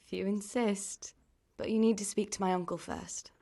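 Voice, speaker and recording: A young medieval village woman, a miller's niece in a game drama. She is caring but world-weary, with a plain-spoken, gently chiding manner. Her pitch sits in the middle for a woman. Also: steady tone, moderate pace, vocal fry. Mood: brisk, wry. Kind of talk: dramatic video game dialogue.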